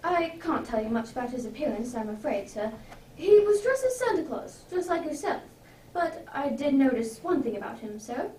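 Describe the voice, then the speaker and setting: Woman as high, deferential butler. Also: very animated, normal speed, a little mellow, roomy sound, recorded from a distance, a little hiss or hum, low-quality recording. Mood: apologetic. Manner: formal, polite. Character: deferential, apologetic male butler. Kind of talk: amateur radio drama acting.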